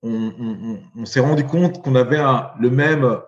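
A man in his forties, raised in Belgium, speaks as a podcast guest at a middle pitch, halting, with constant fillers and restarts. His voice echoes around the room and the sound is somewhat dull. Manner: halting, many fillers and repeated words. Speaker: guest, investor. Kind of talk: podcast interview.

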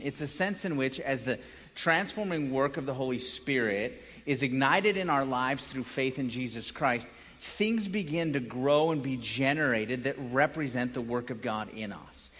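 A male pastor with a medium-pitched voice preaches in a conversational way. He is earnest and often rephrases as he explains, his words carrying a long hall reverb. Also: fairly bright tone. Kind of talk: conversational sermon. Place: large church hall, preaching to congregation.

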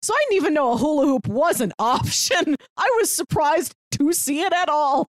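A woman co-hosts a film review podcast in a casual, conversational way. Her voice sits fairly high and moves very freely in pitch, and the sound is dry and close. She tends to act out imagined lines as she explains. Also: lively intonation, moderate pace, dry recording. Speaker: podcast co-host.

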